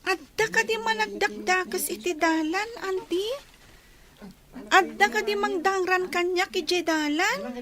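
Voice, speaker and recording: A woman's voice: very high-pitched, measured pace, crowd noise. A woman playing a timid young schoolgirl with a thin, childlike voice in a radio drama. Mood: worried. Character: young schoolgirl, timid, pleading with her aunt.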